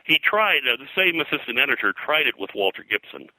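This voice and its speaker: A man's voice: medium pitch, sing-song, fast, pushed and bright, no room sound, low-quality recording. An American man speaking as an interview guest, a pop culture historian telling detailed anecdotes in a rambling, digressive way.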